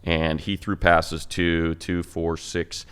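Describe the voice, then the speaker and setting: Man, play-by-play announcer, extremely deep voice, smooth tone, emphatic, stat-heavy chat. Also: flat delivery, slow pace, fairly bright tone. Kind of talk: casual sports podcast chat.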